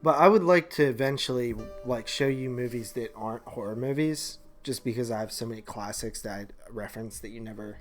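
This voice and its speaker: A woman's voice: extremely low pitch, fairly quick, hardly any pauses, soft background music. A woman co-hosting a podcast in casual, slangy banter with a teasing manner. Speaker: podcast co-host.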